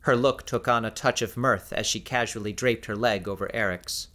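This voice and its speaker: A man narrating scripted fiction as an audiobook narrator, in a measured, descriptive storytelling manner. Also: on the low side, sharp tone.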